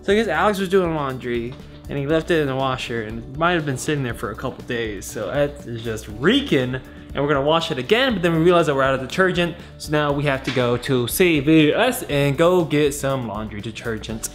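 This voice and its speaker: A man's voice: mid-range voice, animated, a little fast, soft background music. A young man vlogging casually into a handheld camera in his apartment, playful and goofy. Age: young adult. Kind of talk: casual vlog talk. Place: apartment indoors. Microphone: handheld camera at arm's length.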